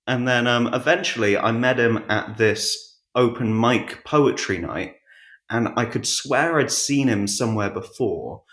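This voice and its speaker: A man telling stories casually as a podcast guest, in a halting, rambling way. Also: medium pitch, a bit bright. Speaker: podcast guest, audiobook narrator. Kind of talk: casual conversational storytelling. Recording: roomy, echoey sound.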